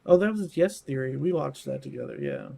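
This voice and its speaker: A man's voice: mid-range voice, huge pitch swings, fairly quick, creaky, mellow. A man co-hosting a podcast, talking in casual, conversational fashion. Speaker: podcast co-host. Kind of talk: casual chat.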